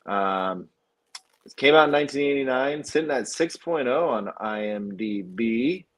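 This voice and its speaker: Mid-pitched man chatting about films. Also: lively intonation, unhurried. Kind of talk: casual film chat.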